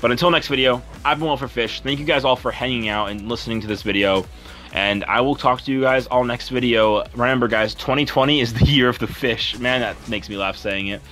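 A young man with a deep voice talking over gameplay in a rambling, earnest, apologetic way as a content creator. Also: brisk, sharp tone, soft background music. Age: young adult. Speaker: YouTuber and streamer.

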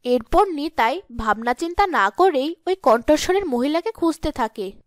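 A woman's voice: high voice, expressive, moderate pace, no room sound, studio quality. A woman reading scripted storytelling narration for a cartoon.

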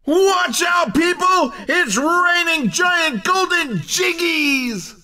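An over-excited male video game race commentator with a very high, sharp voice. He delivers each line like a hammy showman, exclamatory and playful, yet measured in pace. The voice sounds a little distant from the mic. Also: exaggerated voice. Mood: excited, urgent. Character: over-excited male cartoon racing announcer, playful and punning.

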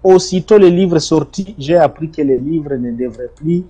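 A man from the Democratic Republic of the Congo, an interview guest, answering in an insistent way with emphatic rises and low trailing ends. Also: normal speed, mellow, husky. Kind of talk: defensive testimony in an interview.

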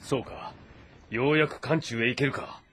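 An actor playing a dignified, confident warlord in a historical game drama, speaking with weighty authority. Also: male voice, mid-range voice, fairly even intonation, normal speed, fairly bright tone, husky. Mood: pensive, authoritative. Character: commanding warlord, confident, dignified leader of an army.

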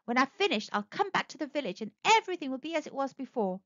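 A woman's voice: very animated, fast, a bit bright, dry recording. A woman doing an earnest young stonecutter. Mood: eager. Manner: high, earnest and stammering. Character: young male stonecutter, eager then anguished. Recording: dry, dull lo-fi sound.

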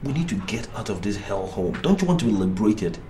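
An actor playing a frustrated young husband and son who pleads and breaks into angry outbursts. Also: male voice, on the low side, sing-song, fast, mellow, a little hiss or hum. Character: frustrated young family man. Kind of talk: film drama acting.

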